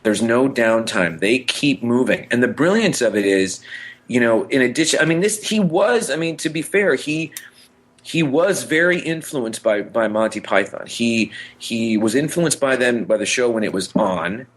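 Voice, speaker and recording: A man co-hosting a podcast, chatting casually and enthusiastically in a rambling, halting way that repeats words. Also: medium pitch, expressive. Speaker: podcast co-host. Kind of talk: casual chat about pop culture.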